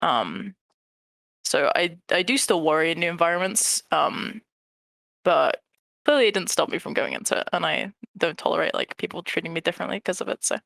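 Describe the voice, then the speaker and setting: Brief: young esports manager, voice often read as a girl's, gravelly edge, measured and thoughtful. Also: mid-range voice, animated, fairly bright tone, no echo. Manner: measured, thoughtful, some ums. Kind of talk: casual panel discussion.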